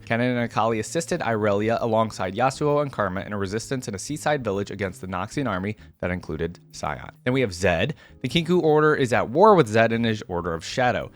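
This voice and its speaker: A man hosting a reaction video, reading lore aloud and adding casual commentary. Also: medium pitch.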